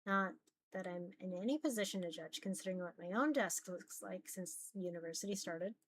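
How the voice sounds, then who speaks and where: A woman's voice: fairly low pitch, brisk, a little hiss or hum. A woman reading a visual novel aloud as dramatic narration.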